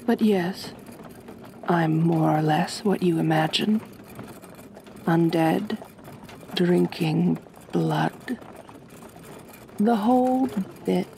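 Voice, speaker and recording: Aristocratic female vampire mistress played by a woman, ASMR roleplay. Unhurried, gently reassuring, slightly low register. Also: gravelly, slight background noise, full character voice. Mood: calm, coaxing. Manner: gentle, measured, genteel, reassuring. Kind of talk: ASMR roleplay, soft soothing delivery.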